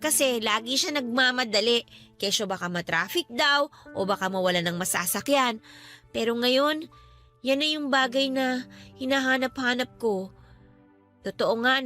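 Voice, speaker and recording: An actress playing a grieving young student, an earnest and faithful younger sister who speaks consolingly and with gentle insistence in a radio-style drama. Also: female voice, fairly high pitch. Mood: nostalgic, regretful. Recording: music bed behind voice.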